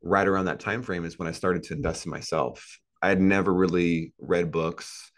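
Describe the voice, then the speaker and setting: A man's voice: sits low, steady tone, moderate pace. A man in his thirties, a podcast guest on a video call, talking earnestly and motivationally with lots of repetition. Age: 30s. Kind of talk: casual interview talk. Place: indoor room with a plant and a couch.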